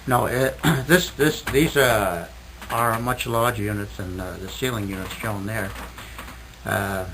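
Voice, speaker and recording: A man giving a technical explanation as a radio interview guest, speaking in a halting, hesitant way with precise wording. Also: lowish voice. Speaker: interview guest, loudspeaker inventor. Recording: noisy old 1960s radio recording.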